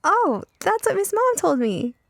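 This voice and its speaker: A woman's voice: very high-pitched, sing-song, unhurried, vocal fry, dry recording, pitched above the performer's own voice, exaggerated voice. A woman voicing a curious, precocious young girl in a storybook, earnest and direct in manner. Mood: excited. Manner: direct, matter-of-fact, earnest. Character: curious, eager, precocious young girl who loves tinkering. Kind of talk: acted audiobook dialogue.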